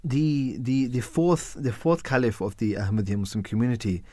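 A man's voice: medium pitch, steady tone, normal speed, darkish tone. A man whose mother tongue is Urdu hosts a casual radio discussion, hesitating and restarting often and ending points with tag questions. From parents from Pakistan. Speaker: radio show host.

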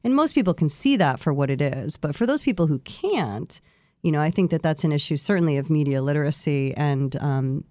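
A woman's voice: deep voice, animated, brisk, mellow, no room sound, studio quality. A woman, talking conversationally as an interview guest who teaches journalism. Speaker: journalism educator, podcast guest.

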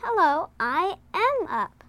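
A woman voicing an eager, practical young boy in a storybook, excitable and bossy, with posh schoolboy exclamations. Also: very high-pitched, slow, no echo, pitched above the performer's own voice, full character voice.

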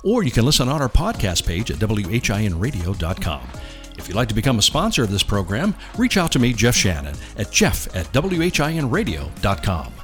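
A man's voice: fairly low pitch, wildly swooping pitch, fairly quick, a bit bright, soft background music. A man hosting a weekly public affairs radio show, chatting and interviewing guests in an upbeat way. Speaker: radio host.

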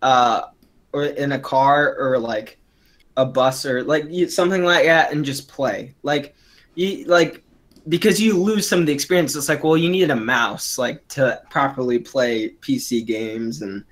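A man's voice: medium pitch, a little slow. A man of twenty co-hosting a casual podcast, talking in a rambling way with many restarts and fillers. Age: young adult. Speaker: podcast co-host. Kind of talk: casual chat.

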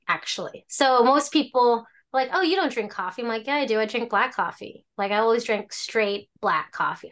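A chatty woman in her forties tells stories in a loose, rambling way, full of restarts and fillers. Her voice sits a little high. She talks casually as a guest on an interview podcast. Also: fairly bright tone. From born in Hong Kong, raised in Alaska. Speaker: tea shop owner, guest.

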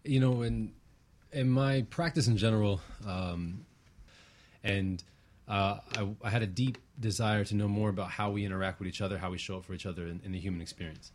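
A man's voice: lowish voice, fairly even intonation, brisk, darkish tone. A man answering as a talk show guest, reflective and conversational, searching a little for his words.

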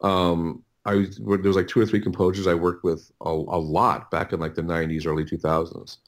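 A middle-aged man with a low-pitched voice answers as a guest in a casual interview. He talks haltingly, repeating words and restarting sentences as he rambles along. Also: little pitch movement. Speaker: interview guest, audiobook producer.

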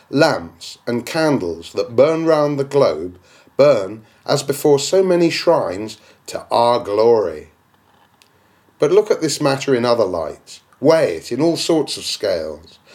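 A man's voice: medium pitch, a little mellow. A man reading a classic novel aloud as an audiobook, with rhetorical, declamatory phrasing. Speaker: audiobook reader. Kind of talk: literary audiobook narration.

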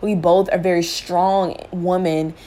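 A woman's voice: fairly low pitch, little pitch movement, unhurried, mellow. A young woman talking candidly and reflectively about listening and relationships, in a casual, earnest way. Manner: casual speech with fillers and restarts. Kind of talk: reflective personal talk.

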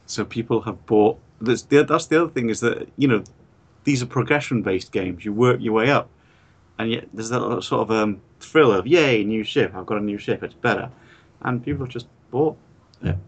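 Low-voiced man, chatty. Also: normal speed, husky. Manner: chatty, explains at length. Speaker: podcast co-host. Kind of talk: casual podcast chat.

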